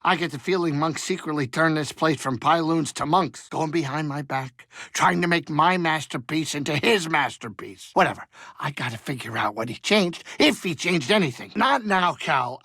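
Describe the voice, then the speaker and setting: A man voicing a grumpy, fretful but soft-hearted older alien pilot and cook in a video game, with a colloquial, fussy, rambling delivery. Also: a little high, lively intonation, normal speed, no echo, clean studio sound, exaggerated voice. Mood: grumbling, fussy. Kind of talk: video game character dialogue.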